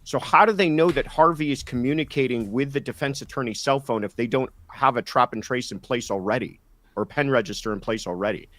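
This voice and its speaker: A man's voice: medium pitch, brisk, a bit bright, no room sound. A man in a conversational legal discussion, talking in a polite, questioning way as a panelist.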